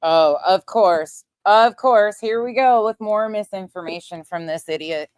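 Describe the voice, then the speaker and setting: A woman's voice: mid-range voice, a little slow, darkish tone, smooth, no room sound. A woman giving casual, sarcastic livestream commentary, blunt and mocking, with some swearing. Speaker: livestream host reacting to another creator's stream.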